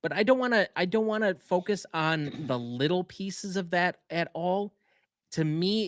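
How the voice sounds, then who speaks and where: A man's voice: on the high side, dry recording, clean studio sound. A man co-hosting a podcast in casual chat, giving opinions in an emphatic way. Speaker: podcast co-host.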